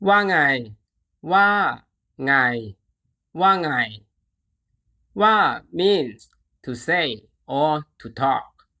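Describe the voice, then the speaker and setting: A language teacher giving a scripted lesson, enunciating deliberately and repeating phrases syllable by syllable before translating them. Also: medium pitch, pushed and bright.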